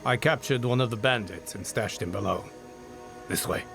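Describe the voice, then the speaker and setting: A man's voice: fairly low pitch, normal speed, creaky. An actor playing a grim, curt male bounty hunter in a video game, terse and commanding with dry menace. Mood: terse, menacing. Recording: loud music over the voice.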